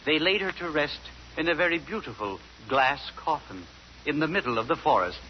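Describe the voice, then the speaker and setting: A man's voice: a little high, animated, moderate pace, slight background noise. A man on a 1940s radio comedy, telling a fairy tale earnestly as the straight man. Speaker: comedy host. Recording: dull lo-fi 1940s radio broadcast.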